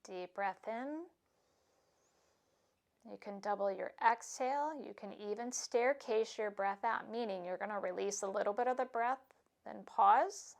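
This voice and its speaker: A woman yoga instructor giving calm, soothing, unhurried cues for stretching, breathing and meditation. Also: medium pitch, gravelly.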